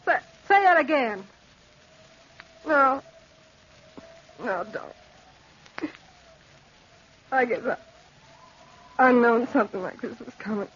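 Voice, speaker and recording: Brassy, bright-voiced gambling dame, old radio. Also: female voice, fairly high pitch, lively intonation, very slow, long pauses, breathy edge, exaggerated voice. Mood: wounded, bitter. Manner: brassy, streetwise. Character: tough, slangy, world-weary young blonde woman gambler. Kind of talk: old-time radio drama acting. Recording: dull old radio recording.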